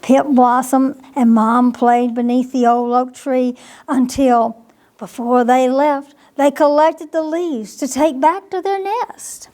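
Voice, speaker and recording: A woman's voice: high-pitched, fairly even intonation, slow pace, dark tone. A woman reading a bedtime picture book to young children, expressively, with questioning asides to her listeners. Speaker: storytime reader at a library.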